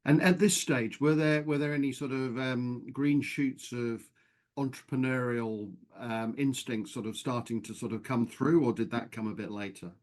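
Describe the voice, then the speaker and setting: Podcast host, man, average pitch, interview style with introductions and questions. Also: steady tone, moderate pace, a little mellow.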